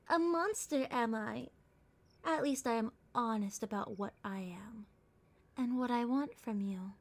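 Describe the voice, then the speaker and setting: Woman playing a slow-talking, haughty vampire girl. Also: fairly high pitch. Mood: haughty, scornful. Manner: condescending, teasing, slow hypnotic murmurs and whispers. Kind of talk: ASMR roleplay.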